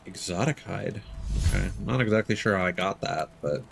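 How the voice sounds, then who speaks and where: A man's voice: deep voice, creaky, fairly bright tone, slight background noise. A man streaming a video game, thinking aloud in casual commentary. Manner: musing aloud, unhurried asides. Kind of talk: casual livestream gameplay commentary. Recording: game ambience behind voice. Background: faint game ambience.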